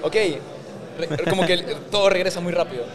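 A man's voice: high-pitched, steady tone, a crowd in the background. A young man from Ecuador who grew up in the United States, talking casually and informally as an interview guest with plenty of fillers. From Ecuador, raised in the United States. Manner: quick, informal, filler-heavy. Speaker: guest, growth agency founder.

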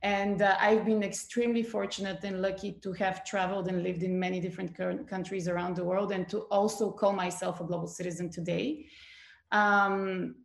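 Bulgarian-born woman with gravelly voice. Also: medium pitch, level tone, moderate pace, roomy sound. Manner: thoughtful, many fillers and restarts. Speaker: guest. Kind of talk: conversational interview.

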